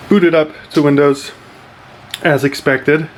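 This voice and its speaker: A man vlogger talking casually through a hands-on computer build, often pausing and rewording his explanations as he goes. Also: a little high, steady tone, a little hiss or hum. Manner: informal, halting, self-correcting explanations. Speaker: tech vlogger.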